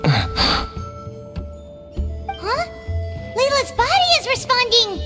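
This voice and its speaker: A woman voicing a small, childlike, perky and inquisitive fairy companion in a video game, with a squeaky voice. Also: wildly swooping pitch, normal speed, big-room sound, heavily acted. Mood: surprised. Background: game music.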